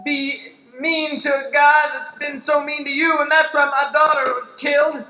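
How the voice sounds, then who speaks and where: A man's voice: very high voice, little pitch movement, moderate pace, pushed and bright. A man playing a two-faced, pleading small-town mayor in an improvised Western radio play, melodramatic and exclamatory on an echoey stage. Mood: confessing. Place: live improv stage with audience.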